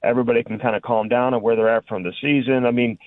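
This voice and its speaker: A man talks through his sports analysis in a conversational, unscripted way, as a guest reporter would. The sound is dry and somewhat dull, like a lo-fi radio call. His pitch sits in the middle for a man. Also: steady tone, quick pace, no room sound. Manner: conversational, frequent "you know" and "listen".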